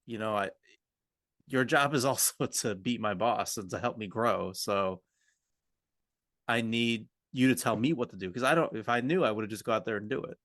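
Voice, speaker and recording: Frustrated male staffer played by a man, workplace roleplay, insistent and halting, very dry recording. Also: lowish voice, fairly bright tone, dry recording, lightly acted. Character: frustrated male employee demanding support from his boss.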